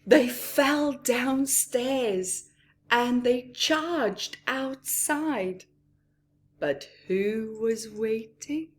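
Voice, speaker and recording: A woman reading a picture book aloud to young children, expressively, with asides to her listeners and hushed whispers. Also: a little high. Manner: acts out characters, pausing for asides to listeners. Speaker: children's story reader.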